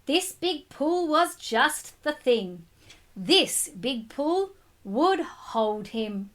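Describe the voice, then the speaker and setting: A woman reading a picture book aloud to children, expressive and speaking to them directly. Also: high-pitched, extremely slow. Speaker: school storytime reader.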